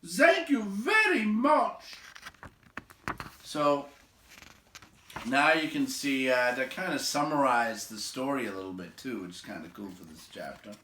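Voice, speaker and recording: A man reading a story aloud in an expressive narrating style. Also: medium pitch, normal speed, a little hiss or hum. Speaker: story reader. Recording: roomy echo, distant from mic.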